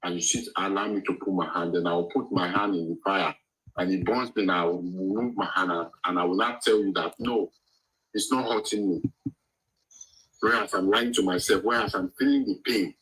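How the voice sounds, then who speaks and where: A man's voice: sits low, fairly even intonation, normal speed, a bit bright, slightly rough, poor audio. A man with a Nigerian accent, reflective and explaining his points with examples in a casual debate.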